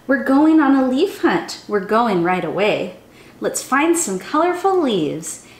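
A young woman, a children's storytime teacher, reading a picture book aloud in an animated, sing-song way, speaking straight to young listeners. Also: fairly high pitch, slow pace. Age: young adult. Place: small room indoors. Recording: roomy indoor echo.

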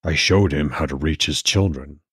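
A weary old Norse god, played by a male narrator speaks in a very low, husky voice. He is stubborn and measured, with terse lines, in a dry-sounding fantasy audiobook. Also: fairly bright tone, dry recording, exaggerated voice. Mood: firm, weary.

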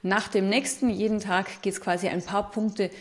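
Woman hosting a brisk financial interview. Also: medium pitch, steady tone. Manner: brisk, leading questions. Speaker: host interviewer. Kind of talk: business news interview.

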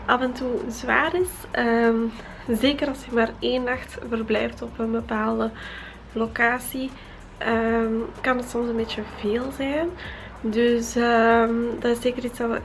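A woman's voice: a little high, creaky, slight background noise. A woman in her twenties with a Flemish accent, chatting casually to a handheld camera as a travel vlogger in a small hotel room. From Belgium. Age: 20s. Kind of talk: casual vlog chat to camera. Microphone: handheld camera at arm's length.

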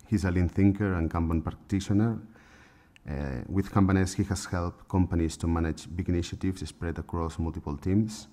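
A middle-aged man moderating a conference panel, introducing speakers in halting phrasing with fillers, into a table microphone. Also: low voice, steady tone, warm tone. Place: conference room on a panel stage.

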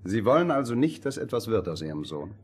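An actor playing a condescending, commanding gentleman stranger in a fairy-tale audio drama. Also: on the low side, sing-song, a little fast, slight background noise. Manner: patronising, commanding. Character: smooth-talking, condescending gentleman stranger giving orders.

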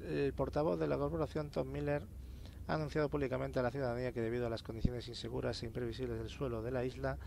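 Gravelly Spanish man doing game commentary. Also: mid-range voice, flat delivery, fast, a little mellow, a little hiss or hum. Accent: Castilian Spanish. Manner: informal, sweary, grumbling to himself. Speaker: let's play host. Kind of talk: casual gameplay commentary, reads in-game notes aloud.

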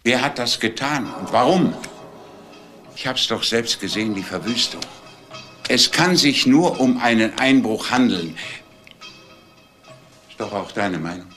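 An actor playing a formal, wistful older businessman, with halting, careful phrasing, in a crime drama. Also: mid-range voice, animated, bright, forceful tone, faint music behind. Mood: disbelieving.

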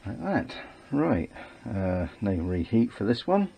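A man's voice: lowish voice, very animated, slow pace, gravelly, mellow, slight background noise. A man thinking aloud with fillers as he works on an engine in his home workshop, filmed by a camera mic off to the side. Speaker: home mechanic filming his engine rebuild. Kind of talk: casual workshop commentary while working.

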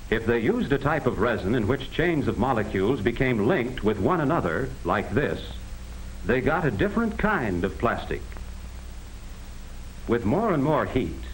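Animated 1940s American film narrator, male. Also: medium pitch. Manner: measured, explanatory, addressing the listener directly. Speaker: narrator of an educational industrial film. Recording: noisy vintage 1940s film soundtrack.

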